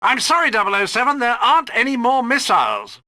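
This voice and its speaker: An actor playing a fussy, proud gadget inventor who briefs a spy precisely in a video game. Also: male voice, very high voice, wildly swooping pitch, brisk, very noisy, full character voice. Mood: instructive. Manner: precise, instructive, slightly fussy.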